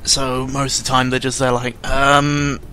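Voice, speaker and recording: A young man giving casual, unscripted commentary in a rambling, halting way, often interrupting himself, with a sarcastic, self-deprecating and exasperated manner. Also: medium pitch, little pitch movement, sharp tone. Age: young adult. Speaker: YouTuber recording an audio log. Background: background music.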